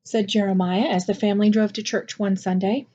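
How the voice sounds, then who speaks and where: Female storybook reader, mid pitch, scripted narration, slightly dull lo-fi recording. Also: steady tone, brisk, mellow. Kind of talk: scripted picture book narration.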